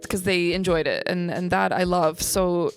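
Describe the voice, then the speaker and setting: A woman's voice: lowish voice, fairly even intonation, normal speed, soft background music. A young American woman, a singer-songwriter, chatting thoughtfully and agreeably as an interview guest. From United States. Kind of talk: casual interview chat.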